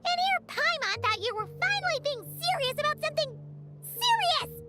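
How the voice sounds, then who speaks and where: A woman voicing a tiny, cheeky, childlike fairy companion in a video game, squeaky and blunt. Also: very animated, normal speed, loud music behind the voice. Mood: skeptical. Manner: blunt, third-person self-reference.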